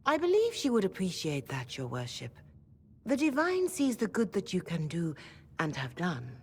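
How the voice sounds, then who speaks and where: A woman playing a gracious, devout older cleric in a video game, speaking formally with measured courtesy and gentle frankness. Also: lowish voice, huge pitch swings, a little hiss or hum. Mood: composed, sincere.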